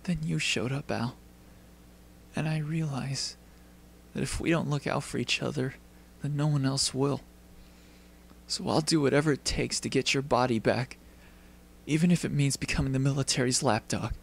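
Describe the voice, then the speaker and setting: A man's voice: mid-range voice, steady tone, moderate pace, vocal fry, pauses often, slightly rough. A man voicing a hot-tempered, earnest teenage boy alchemist with intense, dramatic anime dub emotion. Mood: earnest, subdued.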